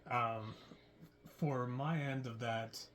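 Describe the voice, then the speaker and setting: Halting man hosting a cast chat. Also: mid-range voice, fairly even intonation, fairly bright tone. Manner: halting, many fillers and restarts. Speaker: creator and producer of an audio drama. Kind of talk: casual group interview.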